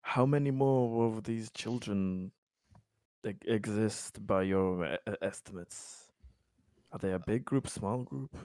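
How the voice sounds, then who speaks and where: A man playing a tabletop game, putting questions in character with deliberate, formal phrasing and blunt turns. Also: fairly low pitch, darkish tone, dry recording, studio quality.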